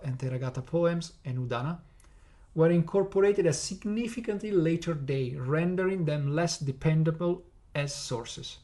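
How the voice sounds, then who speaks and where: A man's voice: fairly high pitch, warm tone. A man with an Italian accent, Italian his first language, hosting a podcast lecture and explaining step by step with hesitant fillers. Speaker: podcast host.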